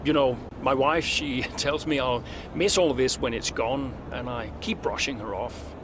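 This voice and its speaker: An actor playing a middle-aged, focused veteran racing driver near retirement, speaking in a measured, dry way in a video game. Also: male voice, medium pitch, steady tone, background noise, lightly acted. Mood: reflective. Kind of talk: cinematic game dialogue, interview answers.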